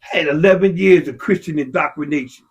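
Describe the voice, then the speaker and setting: A man in heated casual discussion, his husky voice sitting high. He is impassioned and profane. His voice climbs to shouts when he presses a point. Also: fairly quick, lo-fi sound. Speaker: podcast co-host.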